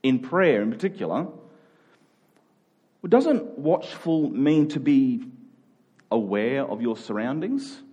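A man delivers an informal sermon in a medium-pitched voice. He speaks earnestly and humbly about himself, often doubling back on a phrase as he works through a thought. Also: big pitch swings, takes it slowly, long pauses, mellow, breathy edge, dull audio. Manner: earnest, self-deprecating, thinks aloud with repeated phrases. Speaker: preacher. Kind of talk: conversational sermon.

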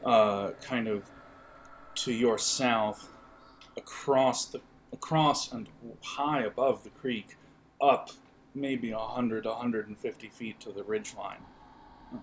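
A man's voice: mid-range voice, background noise. A man running a tabletop game as game master, improvising casual narration and often searching for his words. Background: music under the voice.

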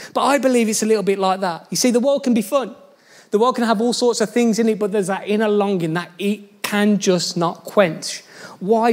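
High-voiced pastor, joking sermon. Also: male voice. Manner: chatty, jokey storytelling, addressing the church directly.

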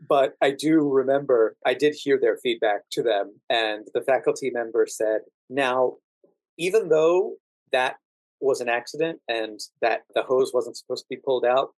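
A calm American man talks as an interview guest in a measured, quiet manner. His voice sits at a medium pitch, and the sound is dry with no room in it. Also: no room sound, rough recording. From Washington DC, United States. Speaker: podcast guest, actor and standardized patient. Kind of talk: conversational storytelling interview.

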